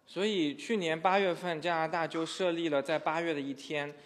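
A man's voice: fairly high pitch, fairly even intonation, brisk, a bit bright. A man interpreting a sermon into another language in a church hall, hesitant and full of fillers. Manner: hesitant, many filler sounds. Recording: echoey hall.

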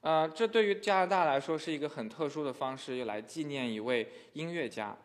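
A man's voice: a little high, brisk. A man interpreting a sermon into another language in a church hall, hesitant and full of fillers. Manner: hesitant, many filler sounds. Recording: echoey hall.

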